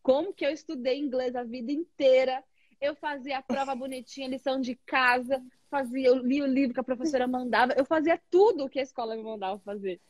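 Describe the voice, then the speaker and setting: A woman's voice: sits high, a little fast, no echo. A Brazilian woman with a Brazilian Portuguese accent, an English teacher chatting animatedly with a guest and using lots of tag questions. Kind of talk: casual conversation.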